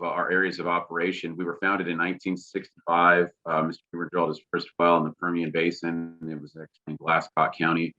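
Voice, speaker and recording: Deep flat-voiced man on a call. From Texas. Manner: steady, filler-heavy explaining. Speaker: oil company representative. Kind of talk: webinar presentation. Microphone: video call. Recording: dull lo-fi video call audio.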